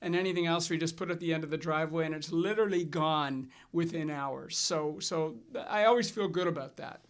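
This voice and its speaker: A middle-aged man with a somewhat raised voice hosts a financial chat. He tells his stories in a relaxed way and restarts his sentences as he goes. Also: on the high side, brisk. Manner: relaxed storytelling with fillers and restarts. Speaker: financial advisor and show host. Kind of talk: casual scripted chat.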